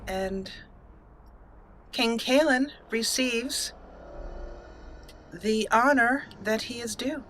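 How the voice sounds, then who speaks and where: A woman's voice: on the high side, very slow, pauses often, a bit bright, a little hiss or hum. A woman giving casual, chatty roleplay commentary on a game, slipping into emotive, story-like narration. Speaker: let's play host and gamer.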